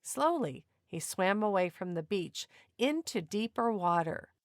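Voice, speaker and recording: A woman narrating a children's picture book aloud in an expressive, deliberate storytelling manner. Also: medium pitch, no echo, studio quality.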